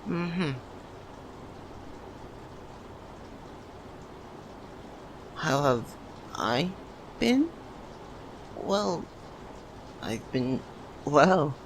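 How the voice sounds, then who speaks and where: A man playing a shy, lovesick young university student, speaking hesitantly in an ASMR roleplay with stammers and pauses. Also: on the high side, creaky, exaggerated voice. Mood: awkward, shy, then nervous. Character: shy, lovesick young man, nervous childhood best friend. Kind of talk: ASMR roleplay, soft emotional monologue to the listener. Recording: noticeable steady background ambience noise.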